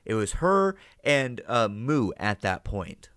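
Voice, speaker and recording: A man's voice: on the low side, big pitch swings, slow, fairly bright tone, dry recording, studio quality. A man giving casual reaction commentary as host, thinking aloud, swearing now and then and checking in with "right?". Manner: ends statements with "right?" as a check.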